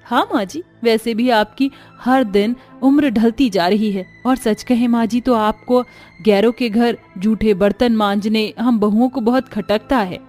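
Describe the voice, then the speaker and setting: A woman's voice: fairly high pitch, measured pace, a little mellow, faint music behind, close to an everyday voice. A woman voicing a caring, sensible young village daughter-in-law in a family story. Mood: reassuring.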